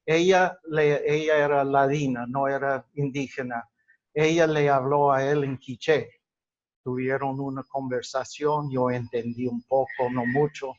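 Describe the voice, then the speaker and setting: A man whose first language is English, giving a classroom lecture in Spanish, halting with many fillers and restarts. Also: mid-range voice, steady tone, muffled recording. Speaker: teacher.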